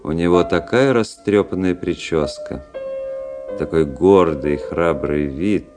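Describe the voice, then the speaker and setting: Low-voiced, ironic young officer. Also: male voice, takes it slowly, over music. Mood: scornful, brooding. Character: cool, proud, ironic young nobleman and army officer. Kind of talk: Russian radio drama acting.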